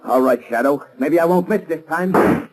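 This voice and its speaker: A man's voice: fairly high pitch, normal speed, close to an everyday voice, low-quality recording. A man acting a nervous, uneducated gangster underling in a 1930s radio drama, talking in uneasy slang. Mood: frightened. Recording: old 1930s radio broadcast.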